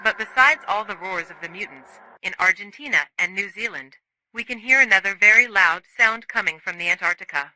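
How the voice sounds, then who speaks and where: News reporter played by a man for horror bulletin: high male voice, forceful and sharp, dry radio-like sound. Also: brisk, a little hiss or hum, poor audio. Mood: grave, reporting. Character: news reporter announcing a monster disaster. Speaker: news narrator. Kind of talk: scripted news narration.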